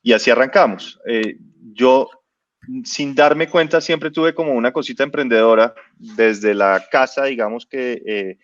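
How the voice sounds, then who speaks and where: A man's voice: medium pitch, a little slow, dull audio. A man in his late thirties, a business guest, telling his life story in a casual interview with many hesitations and restarts. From born in Spain, raised in Ecuador and Colombia. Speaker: guest entrepreneur.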